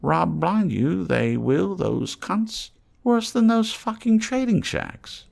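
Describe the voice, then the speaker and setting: A man voicing an eccentric old tramp trader in an audiobook, wheedling in excitable, salty, rambling patter. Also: high voice, slow, gravelly, voice pushed up, full character voice. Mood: indignant, excitable.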